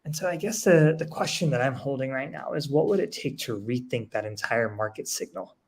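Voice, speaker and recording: A man's voice: mid-range voice, brisk, gravelly, slightly rough. A man speaking as an education panelist, analytical, building his points through rhetorical questions. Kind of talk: panel discussion answer.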